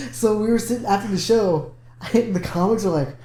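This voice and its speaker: A man with a fairly high voice tells stand-up-style stories, acting out the quotes and laughing along. The room around him is echoey. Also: moderate pace. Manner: animated storyteller who acts out quotes and laughs. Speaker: comedian. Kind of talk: casual chat. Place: room in Fresno. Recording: roomy echo.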